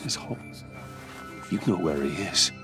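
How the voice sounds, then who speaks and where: A man's voice: low-pitched, expressive, normal speed. An actor playing a stern, guarded wealthy man in his thirties in a video game, terse and controlled. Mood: probing. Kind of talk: video game character acting. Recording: music behind the voice.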